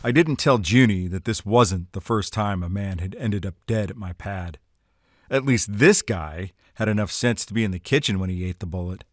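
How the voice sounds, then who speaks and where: Man playing a low-voiced, wry noir tough guy. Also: normal speed, no echo, clean studio sound, lightly acted. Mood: wry, deadpan. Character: wisecracking, excitable noir detective type named Jack, narrating a crime. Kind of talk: hardboiled noir radio-play narration and dialogue.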